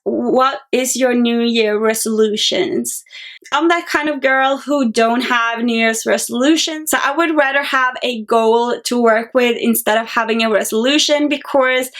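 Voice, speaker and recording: A young Norwegian woman with a slightly high voice talks casually in a vlog. She reads out viewer questions and answers each one at length, speaking in English with a Norwegian accent. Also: fairly bright tone. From Norway. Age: young adult. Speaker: beauty vlogger.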